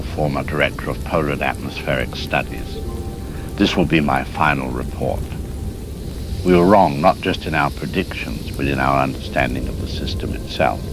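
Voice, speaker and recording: A man playing a grave, resigned climate scientist giving a measured final report in an apocalyptic audio drama. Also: extremely low pitch. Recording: noisy broadcast with storm ambience.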